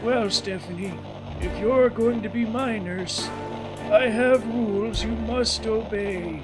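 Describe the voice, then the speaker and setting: A man's voice: sits high, takes it slowly, music in the background, poor audio. An actor playing a lecherous old man in assisted living, speaking in a creepy, leering way in a comedy sketch.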